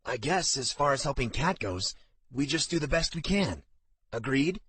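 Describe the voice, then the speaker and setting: A man acts out an anxious young pig farmer in a fantasy audiobook. He sounds earnest and self-doubting, pitched well above a usual male voice. The recording is dry and close. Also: expressive, normal speed, a bit bright, slightly rough, no echo. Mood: wry, resigned. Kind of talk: audiobook character dialogue.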